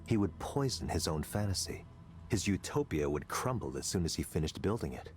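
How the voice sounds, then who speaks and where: A man playing a confident, coldly intellectual tech executive in a visual novel, lecturing in a deliberate, persuasive way. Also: low-pitched, big pitch swings, soft background music. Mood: intense, philosophical.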